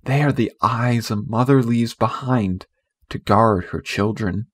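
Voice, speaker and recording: A man voicing a gentle, anxious storybook mother with a pleading, tender manner. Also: mid-range voice, measured pace, husky, no echo, clean studio sound. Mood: soothing. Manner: pleading, soft-hearted.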